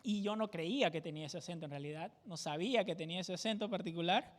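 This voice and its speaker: High-voiced man with Peruvian jungle accent. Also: quick pace, big-room sound. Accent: Peruvian jungle (selva) Spanish. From Peru. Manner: conversational, keeps checking in with tag questions. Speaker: pastor teaching a Bible study. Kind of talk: verse-by-verse Bible teaching sermon.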